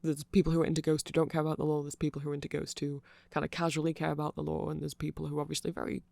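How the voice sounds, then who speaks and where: A nerdy, hesitant vampire played by a man talks softly in an ASMR roleplay. The voice is creaky and very dry, close to the mic. He rambles in a self-deprecating, friendly way to one listener. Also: on the high side, completely flat delivery, no room sound. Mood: earnest, rambling. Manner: halting, self-deprecating rambling with small laughs. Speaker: ASMR audio roleplay performer. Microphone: close microphone.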